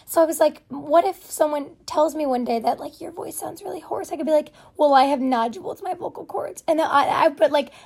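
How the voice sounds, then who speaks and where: A young woman with a naturally hoarse, raspy voice, hosting a casual solo podcast and rambling chattily with lots of fillers. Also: high voice, a little fast, hardly any pauses, darkish tone. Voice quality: hoarse, raspy voice from vocal cord nodules. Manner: rambling, chatty, self-deprecating with many fillers. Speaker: podcast host.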